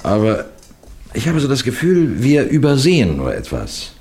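An actor playing a shrewd, genial middle-aged detective who questions and narrates in a measured, gently teasing way, in the style of a 1960s German radio mystery. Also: male voice, medium pitch, huge pitch swings, a little hiss or hum. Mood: pensive, teasing. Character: shrewd, genial police detective narrating his own case.

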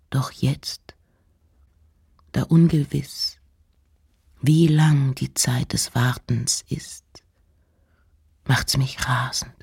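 Slow, low-voiced, intense woman poet. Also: gravelly, a little mellow, husky, heavily acted. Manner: deliberate, theatrical phrasing with pauses. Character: poetic, intense, eccentric 19th-century woman poet. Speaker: radio drama actress. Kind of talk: literary radio play, reciting letters and poems. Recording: music behind the voice.

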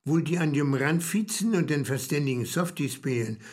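An actor playing a mocking, blunt buddy in a conversational radio comedy, with a Northern German accent. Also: male voice, a little high, expressive, moderate pace, darkish tone, natural voice. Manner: teasing, blunt. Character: mocking, needling male buddy who teases his earnest friend.

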